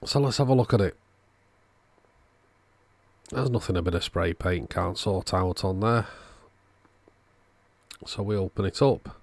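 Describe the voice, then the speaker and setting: Deep-voiced, gravelly man narrating repairs. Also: a little slow, long pauses, a little mellow, no echo. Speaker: host, electronics repairer. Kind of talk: casual repair video narration.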